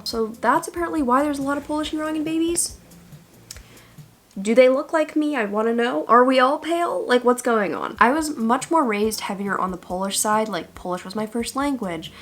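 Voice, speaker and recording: A young woman in her twenties from the United States, chatting casually and playfully to camera with sassy asides. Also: fairly high pitch. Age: 20s. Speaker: YouTuber. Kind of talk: casual vlog chat.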